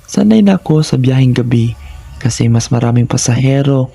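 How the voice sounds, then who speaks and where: A man's voice: medium pitch, animated, normal speed, gravelly, mellow, husky, dry recording. A man narrating scripted first-person horror stories in a dramatic, suspenseful manner, in short phrases.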